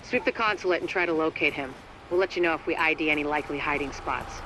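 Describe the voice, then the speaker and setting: An actor playing a businesslike military radio dispatcher in a video game, giving clipped instructions. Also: male voice, on the high side, fast talker, background noise, close to an everyday voice.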